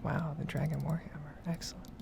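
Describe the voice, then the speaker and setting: A woman softly whispering a casual, rambling ASMR commentary over a game. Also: low voice, level tone, brisk, vocal fry, background noise. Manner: soft-spoken, rambling, casual asides. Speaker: gaming video creator.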